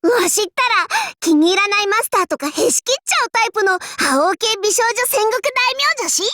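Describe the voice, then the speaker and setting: Casting: young female demon-king warlord, anime game. High, swooping delivery; haughty, archaic, cocky, with a goofy streak. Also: animated, fairly quick, sharp tone, slight background noise, exaggerated voice. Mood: boastful, playful. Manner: archaic lordly speech, haughty, abruptly switching to goofy. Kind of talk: Japanese anime game character voice lines.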